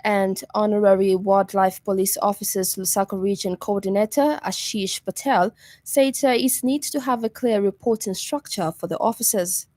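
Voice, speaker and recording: A woman news reader with a Zambian accent delivers a scripted radio bulletin. Her voice sits at a middle pitch, and the studio sound is close and dry. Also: steady tone, normal speed, a little mellow, dry recording.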